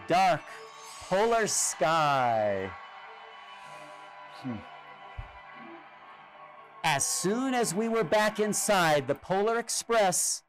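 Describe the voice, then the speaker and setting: Male storytime reader, theatrical and unhurried, lively swooping delivery, music underneath. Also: sits high. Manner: theatrical, expressive, with drawn-out pauses. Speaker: storyteller reading a picture book aloud. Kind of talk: dramatic children's storytime narration. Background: music and sound effects.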